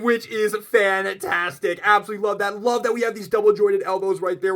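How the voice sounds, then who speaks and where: High-pitched, excitable man reviewing toys. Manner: gushing, excitable, exclaims often; informal, repetitive step-by-step demonstrating. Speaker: toy review video host. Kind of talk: casual unboxing and review commentary.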